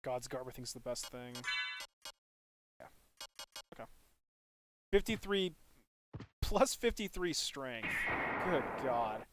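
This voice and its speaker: A man's voice: mid-range voice, expressive, slow, long pauses. A man live streaming a video game, giving casual, informal commentary, thinking aloud and chatting with viewers. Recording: loud game music behind the voice. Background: video game music.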